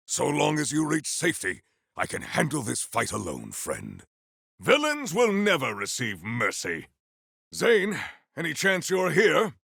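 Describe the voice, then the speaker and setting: An actor voicing a proud, honourable video game warrior who speaks in a formal, archaic, solemn and theatrical way. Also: male voice, a little high, huge pitch swings, slow pace, gravelly, sharp tone, husky, no echo, clean studio sound, full character voice. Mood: stoic, earnest. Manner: formal, archaic, grandiose phrasing.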